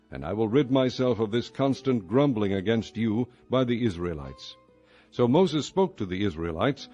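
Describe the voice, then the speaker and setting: A man narrating a dramatized Bible audiobook in a measured, solemn storytelling style. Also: on the low side, darkish tone, highs cut off. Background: faint music.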